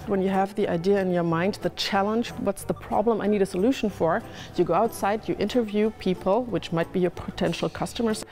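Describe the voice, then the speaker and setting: A woman explaining a design process step by step in an interview, calm and measured. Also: medium pitch. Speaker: summer school organizer. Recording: music playing underneath.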